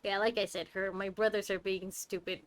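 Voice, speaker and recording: A woman's voice: medium pitch, steady tone, normal speed. A woman game streamer giving casual, reactive playthrough commentary with a wry way of talking.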